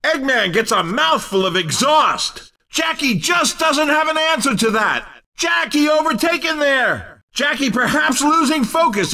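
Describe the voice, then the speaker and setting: Excitable, very high-pitched race commentator. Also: male voice, recorded from a distance, full character voice. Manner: exclamatory, punchy short calls. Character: excitable male race commentator in a cartoon racing game. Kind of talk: excited sports commentary for a racing video game.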